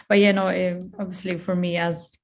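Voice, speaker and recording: Guest, young woman, low-ish pitch, frank and laughing. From Estonia. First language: Russian. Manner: giggly, frank, laughs often. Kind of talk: casual interview chat.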